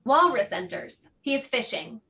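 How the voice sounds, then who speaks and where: A woman's voice: a little high, sing-song, normal speed, sharp tone, slight background noise. A woman giving scripted narration, reading out the stage directions of a play.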